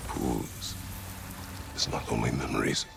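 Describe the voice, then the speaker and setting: An actor playing a stern, weary, remorseful warrior in a video game, speaking tersely and gravely in a growl. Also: male voice, a bass voice, little pitch movement, slow pace, background noise, heavily acted. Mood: grave, guarded. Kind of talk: dramatic video game acting. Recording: loud music under the voice.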